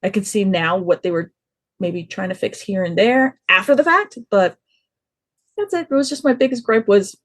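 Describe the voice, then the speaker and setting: Mid-pitched woman giving a casual review. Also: normal speed. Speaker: podcast panelist reviewing audio drama.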